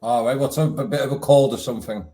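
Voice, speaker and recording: A man's voice: mid-range voice, fast talker, dark tone. A man hosting a casual interview, friendly and informal. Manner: friendly, chatty, informal slang. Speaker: podcast host.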